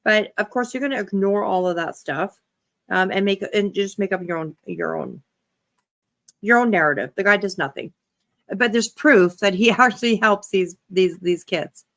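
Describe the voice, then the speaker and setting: A woman's voice: mid-range voice, moderate pace, gravelly, a bit bright. A woman giving casual reaction commentary as a livestream host, talking in a blunt, sweary way.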